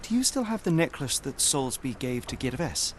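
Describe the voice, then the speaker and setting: An actor with an English accent playing a coolly rational, haughty Victorian detective in a video game, with formal, precise, condescending delivery. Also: male voice, mid-range voice, big pitch swings, moderate pace, a little hiss or hum, lightly acted. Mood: inquisitive, measured. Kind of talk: video game cutscene acting.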